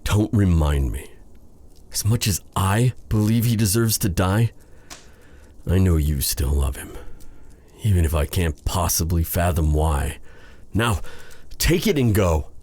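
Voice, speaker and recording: Man voicing a dark fantasy sorcerer, deep voice, slow halting delivery, formal old-fashioned diction, brooding yet tender, romantic audio roleplay. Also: lively intonation, a little hiss or hum. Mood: grave, resigned.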